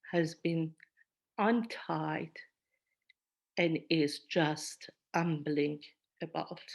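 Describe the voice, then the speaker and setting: A woman giving a host's welcome and reading poetry in measured phrases with pauses between them. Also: lowish voice. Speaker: event host, school founder and director.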